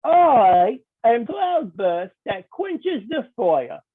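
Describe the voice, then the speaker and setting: Lady doing a scornful evil wizard, real slow n husky, giggly, tinny phone audio. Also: a little high, big pitch swings, exaggerated voice. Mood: taunting. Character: mocking evil male wizard, mouthpiece of the dark lord.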